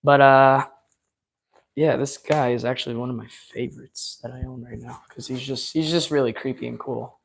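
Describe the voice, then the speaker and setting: A man chatting loosely through a live unboxing, his voice going hoarse from hours of talk. He rambles along with plenty of ums and uhs in a mid-pitched, everyday tone. His enthusiasm carries through the fillers. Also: fairly even intonation, moderate pace. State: losing his voice. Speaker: live-stream host. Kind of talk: casual live unboxing chat.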